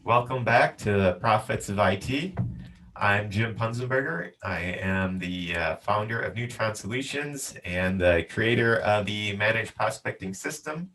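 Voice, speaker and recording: A man's voice: fairly low pitch, pushed and bright, a little hiss or hum, poor audio. A man hosting an interview podcast, asking casual questions with frequent fillers. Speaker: podcast host.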